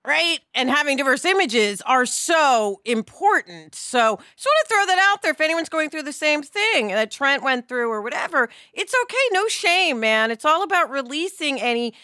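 A woman's voice: sits high, expressive, normal speed, few pauses, bright, forceful tone, dry recording, clean studio sound. An American woman hosting a podcast conversation in an encouraging, emphatic way, often checking in with listeners. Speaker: podcast host. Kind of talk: animated conversational discussion.

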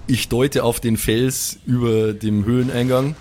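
A man's voice: medium pitch, steady tone, a little slow, a little mellow, a little hiss or hum. A man, probably in his thirties, improvising as a bossy, slangy player in a tabletop role-playing game. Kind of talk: improvised in-character tabletop play and banter.

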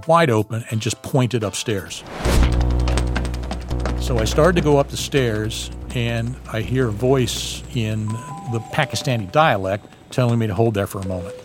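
Man telling a raid story over music. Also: mid-range voice. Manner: direct, vivid first-person storytelling. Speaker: former embassy security agent. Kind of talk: recounting events in an interview. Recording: clear music bed underneath.

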